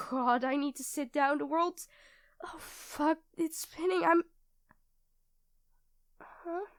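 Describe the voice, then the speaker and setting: A very high, dry-recorded young girlfriend acted by a woman in an audio roleplay. She is sweet and tender with her partner, expressive in delivery and easily thrown into a fluster. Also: no room sound. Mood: shaken, confused. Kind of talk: ASMR roleplay acting addressed to the listener.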